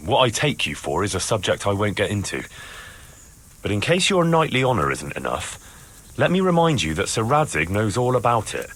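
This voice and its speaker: A wary young servant of a lord in a video game. He is blunt and dryly sardonic, with a fairly low voice that moves around in pitch expressively. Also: sing-song, moderate pace, a bit bright. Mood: firm, wary. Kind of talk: acted video game cutscene dialogue.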